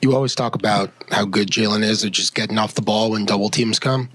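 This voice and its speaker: A man with a lowish voice speaks as a sports reporter. He is putting a detailed question at a press conference. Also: fairly even intonation, a little fast, a bit bright.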